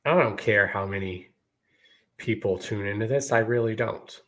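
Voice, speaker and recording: A man in his thirties hosts his own show, speaking in a fairly low voice. He keeps it relaxed and conversational, sprinkling "you know" and "y'all" through a casual monologue to the people watching. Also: moderate pace. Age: 30s. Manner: laid-back, repeats "you know" and "y'all". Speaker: podcast host.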